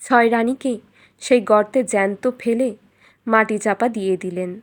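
A woman storyteller reading a scripted fairytale in an expressive, dramatic way. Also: a little high, moderate pace.

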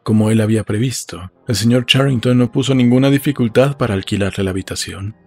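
A man's voice: medium pitch, fast, darkish tone. A man reading a literary audiobook as a scripted narrator. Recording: music behind.

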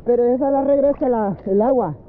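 A man's voice: very high-pitched, a little fast. A man chatting casually as he films out in the sea, often in short exclamations. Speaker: vlogger filming. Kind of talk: casual chat while filming. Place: outdoors in the sea off a beach. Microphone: handheld action camera in the water. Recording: muffled action camera, noisy sea water.

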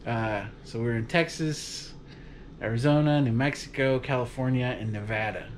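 Relaxed man chatting on his couch. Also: medium pitch, normal speed, a little hiss or hum. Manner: relaxed, rambling, laughs often. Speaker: vlog host. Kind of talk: casual chat to camera. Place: living room at home, on a couch. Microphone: camera across the room.

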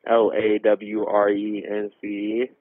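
A young man making an emergency call over a telephone line, giving a halting, informal, rambling account. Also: on the low side, completely flat delivery. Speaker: caller reporting a robbery. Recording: tinny narrowband emergency phone line.

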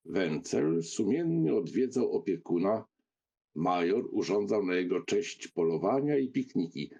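A man narrating a literary audiobook as an expressive storyteller. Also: fairly low pitch, vocal fry, breathy edge.

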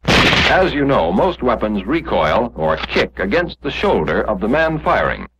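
An American man narrating a scripted 1940s instructional film in a measured, explanatory manner, on an old film soundtrack. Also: on the low side, very animated, very noisy.

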